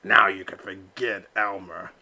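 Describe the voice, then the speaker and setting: A man doing a low sneering leader duck. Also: steady tone, a little slow, pushed and bright, heavily acted. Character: smug bullying male flock leader duck.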